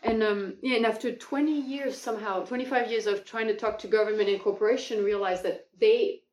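A woman from France speaks at a middle pitch, enthusiastic but halting, often restarting and stretching out words as she tells her story. The mic sits some way off in an echoey home kitchen. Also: fairly even intonation, a little mellow. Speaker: guest. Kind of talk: casual conversational storytelling. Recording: echoey room, far from the mic.

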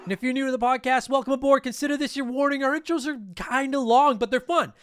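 A man's voice: very high voice, steady tone, fairly bright tone, a little hiss or hum. A man in his thirties hosting a retro gaming podcast, stuffy from allergies, rambling and swearing casually. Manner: fast, rambling, profane. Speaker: podcast host. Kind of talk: casual podcast intro monologue.

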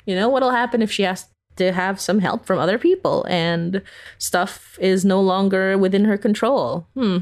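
A woman's voice: medium pitch, normal speed. A woman giving a casual interview as a guest, informal and giggly, often acting out quoted lines. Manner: giggly, animated self-quoting, informal. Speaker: guest, audio drama creator.

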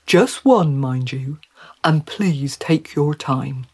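A woman voicing a polite, kindly male talking trout in a storybook, with courteous, old-fashioned, unhurried speech. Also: low voice, animated, a little mellow, breathy edge, full character voice. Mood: gentle, generous.

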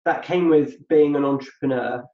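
A middle-aged man talking reflectively as an interview guest, often repeating words while he gathers his thoughts. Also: on the high side. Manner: halting, repeats words while thinking aloud. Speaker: podcast guest. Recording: dull roomy sound from off-mic.

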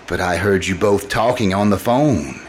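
A man's voice: low-pitched, normal speed, slight background noise, lightly acted. An actor playing a scheming, jealous man feigning madness in old-time radio style, taunting and theatrical. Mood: accusing. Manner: theatrical, taunting, chuckling menace.

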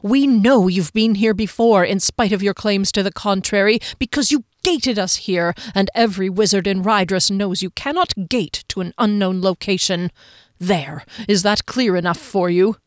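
A woman voicing a stern, cold young male healer in an audiobook, speaking in a clipped, forceful, pressing way. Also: mid-range voice, normal speed, hardly any pauses, no echo, studio quality, lightly acted. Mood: accusing. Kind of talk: audiobook character voice.

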